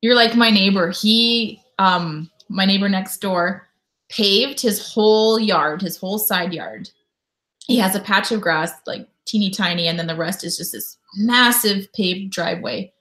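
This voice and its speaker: A woman's voice: mid-range voice, unhurried, fairly bright tone. A woman from Canada in her thirties, hosting a casual live stream from home and chatting with viewers as she reads out their comments. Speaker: YouTube host.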